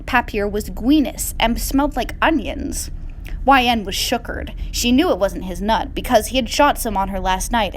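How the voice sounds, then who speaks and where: Woman with highish voice doing a hammy dramatic fanfic reading, slight hiss. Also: poor audio. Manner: hammy, deliberately reads misspellings as written. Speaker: reader of comic fan fiction. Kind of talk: mock-dramatic story reading.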